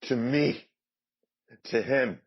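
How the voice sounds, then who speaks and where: Man acting a husky, vengeful righteous fighter. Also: mid-range voice, drawn-out words, muffled recording. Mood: righteous anger. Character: righteous, vengeful male fighter delivering justice. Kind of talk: fan audio drama acting.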